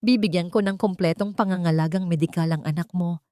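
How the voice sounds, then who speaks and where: A woman's voice: on the low side, fairly even intonation, brisk, dark tone, no echo, studio quality, close to an everyday voice. A woman with a Filipino accent voicing a cold, brooding rich man in a romance story, blunt and businesslike. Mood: firm, merciless.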